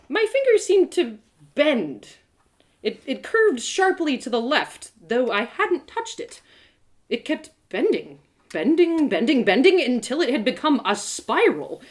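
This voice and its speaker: A woman storyteller reads first-person fiction with a very expressive, varied pitch. Her phrasing is deliberate and theatrical, broken by dramatic pauses. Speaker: storyteller, host of live readings.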